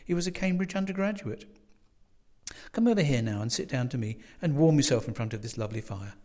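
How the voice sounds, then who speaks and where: A man's voice: mid-range voice, expressive, a little fast, mellow, close to an everyday voice. A man voicing a genteel older landlady in a story, gushing, absent-minded and sweetly fussy. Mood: coaxing. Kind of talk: storybook character voice.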